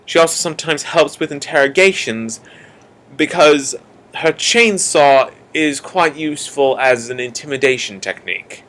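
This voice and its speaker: A man's voice: a little high, expressive, fairly bright tone. A man playing an earnest announcer who narrates a radio drama in a theatrical, emphatic way, with a put-on Australian accent. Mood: wry, confiding. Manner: theatrical, emphatic, with drawn-out key words. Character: earnest male announcer introducing a city police force.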